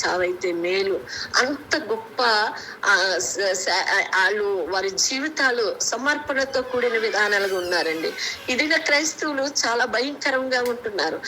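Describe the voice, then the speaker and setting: A woman from India preaching an extemporaneous Bible sermon, earnest and halting, often restarting her words, over a conference call. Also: on the high side, sharp tone. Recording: noisy conference call audio.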